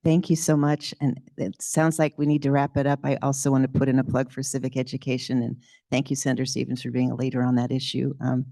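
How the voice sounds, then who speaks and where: A woman's voice: rumbling low, flat delivery, fast talker, vocal fry, dark tone, no echo, studio quality. A woman moderator wrapping up with gracious, thankful closing remarks.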